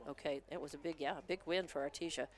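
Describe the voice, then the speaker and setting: An American man giving an unscripted live game recap as a sports commentator, halting and thinking aloud as he goes. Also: on the high side, fairly even intonation.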